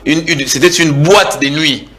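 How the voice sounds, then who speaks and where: A man's voice: a little high, measured pace, bright, forceful tone. Combative Congolese man in a TV debate. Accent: Congolese French. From Kinshasa, DR Congo. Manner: combative, repeats words while pressing his point. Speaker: political debate guest. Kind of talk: heated TV political debate.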